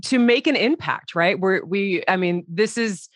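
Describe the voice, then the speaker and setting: A woman hosting an interview in an informal, halting conversational style. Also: medium pitch, lively intonation, no echo, clean studio sound. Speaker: host, interviewer.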